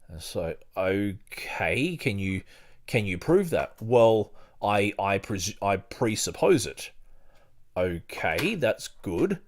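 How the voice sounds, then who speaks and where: A man hosting a podcast, talking casually and haltingly as he thinks aloud or reading from a book. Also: lowish voice, very animated. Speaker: podcast host.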